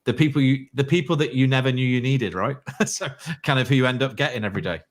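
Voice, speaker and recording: A man hosting a webinar discussion, asking quick, leading questions. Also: medium pitch. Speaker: webinar host.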